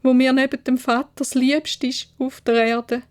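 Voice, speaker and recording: A woman voicing a tender, distraught young woman in love in a Swiss German fairy tale, pleading emotionally in a breathy voice that drops into whispers. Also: high voice, level tone, moderate pace, darkish tone, voice pushed up, lightly acted. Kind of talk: storybook character voice.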